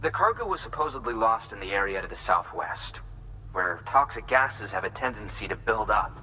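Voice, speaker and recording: An excitable, earnest male scientist from a video game. His voice is very bright and forceful, at an average male pitch. He talks eagerly, tripping over words when excited, with a faint layer of noise behind him. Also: poor audio. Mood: urgent, persuasive. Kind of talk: video game cutscene dialogue.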